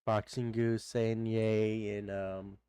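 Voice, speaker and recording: A man's voice: on the low side, flat delivery, slow pace, dark tone, clear, resonant tone, no room sound, clean studio sound. A man with a stuffed-up nose, streaming a game live and chatting casually, greeting viewers by name and rambling with many fillers. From Texas.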